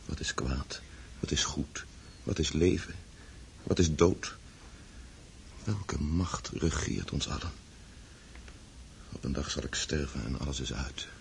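An actor playing a wealthy young Russian count in a radio drama, unhappy and doubting, speaking in a polite, brooding, hesitant way. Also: male voice, extremely low pitch, takes it slowly, gravelly, pauses often, in a whisper, full character voice. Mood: brooding, hushed. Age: young adult. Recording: noisy old radio play recording.

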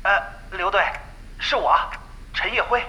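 A man's voice: high voice, measured pace, sharp tone, a little hiss or hum, full character voice, rough recording. A man playing a deferential underling reporting to his captain in an audio drama, hesitant and stammering. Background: music.

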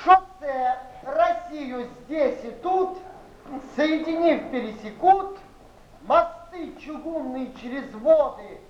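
A man's voice: very high-pitched, expressive, slow pace, full character voice. An actor playing a mocking man of letters, with an exaggerated, declamatory theatrical delivery. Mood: grandly declaiming. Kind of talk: theatrical verse recitation and stage dialogue. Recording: echoey, muffled, noisy old theatre recording.

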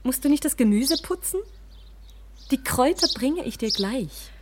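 Radio drama: brisk, teasing young lady of the house, highish voice, birdsong and noise behind. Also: expressive. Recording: outdoor scene with birdsong, noisy.

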